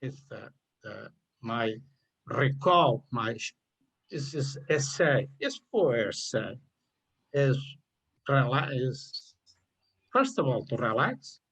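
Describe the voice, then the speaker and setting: An older man from Portugal with a Portuguese accent, speaking English haltingly on a video call about the essay he wrote. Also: medium pitch, big pitch swings, vocal fry, fairly bright tone, husky, rough recording. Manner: halting, searching for words, apologetic. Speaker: contest winner, retiree.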